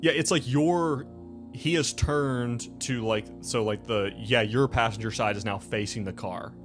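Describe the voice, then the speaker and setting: A man narrating a tabletop game as game master, informal and halting, leaning on filler phrases. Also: medium pitch. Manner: informal, halting, full of "like" and "you know". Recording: music playing behind the voice.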